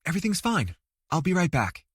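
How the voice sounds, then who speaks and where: Man voicing a composed, guarded woman, pitched up. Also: sing-song, a little fast, fairly bright tone, husky, no echo, clean studio sound, exaggerated voice. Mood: polite. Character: composed, discreet woman on a secret errand. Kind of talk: audiobook character dialogue.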